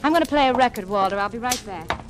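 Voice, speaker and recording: An actress playing a sullen, complaining, uneasy wife in a 1940s radio suspense drama. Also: female voice, a little high, expressive, fast talker, background noise, close to an everyday voice. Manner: petulant, pleading. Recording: vintage radio recording, music behind.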